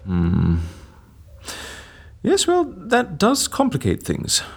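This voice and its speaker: Excitable schemer, theatrical musing delivery, very animated swoops, husky edge, comedy audio drama. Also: male voice, high voice, extremely slow, heavily acted. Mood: musing, breezy. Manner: theatrical, musing, breezily unconcerned. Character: excitable scheming man running a death-faking agency.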